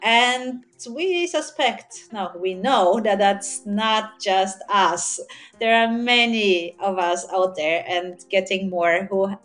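A woman's voice: medium pitch, slow pace, fairly bright tone, soft background music. Chatty woman podcast co-host. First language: not English. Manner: friendly, chatty, laughs easily. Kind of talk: casual interview hosting.

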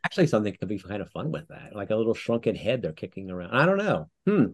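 A man's voice: on the low side, sing-song, fast talker, husky. A man, a writer who does copywriting, chatting on a podcast in a bashful, giggly and playful way. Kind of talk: casual podcast chat.

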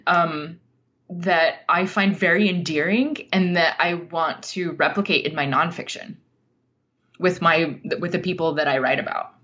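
An American woman with a slightly low voice talks slowly and thoughtfully as a podcast guest. She pauses to search for words in a reflective conversation. The recording is a little dull and lo-fi. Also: a bit bright. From Utah, United States. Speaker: podcast guest, nonfiction writer.

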